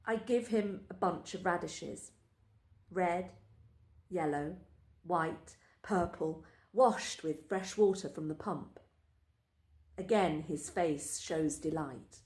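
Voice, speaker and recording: Slow, measured woman reading in a roomy space. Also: on the low side, a little mellow. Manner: measured, deliberate storytelling. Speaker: story reader. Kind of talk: scripted first-person literary story reading.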